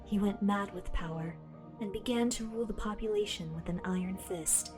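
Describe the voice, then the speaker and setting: A woman voicing a calm, all-knowing female artificial intelligence that lives in a hero's belt, speaking in a measured, formal way. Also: mid-range voice, natural voice. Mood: solemn. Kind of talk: fan audio drama. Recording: music bed underneath.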